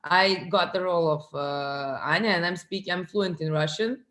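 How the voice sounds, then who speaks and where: A woman from Serbia with a Serbian accent, an actress chatting in an interview, lively and quick to laugh. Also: lowish voice, roomy sound. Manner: lively, laughs often, repeats words. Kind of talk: casual interview chat.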